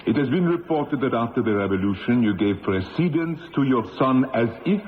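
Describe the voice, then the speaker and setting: An actor playing a stern revolutionary judge who interrogates in a formal, declamatory way in a radio drama. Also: male voice, medium pitch, animated, fairly quick, narrow, tinny audio, close to an everyday voice. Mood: accusing. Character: stern, accusing male revolutionary tribunal judge. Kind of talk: 1970s radio drama acting. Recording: thin old radio recording, reverberant, faint noise.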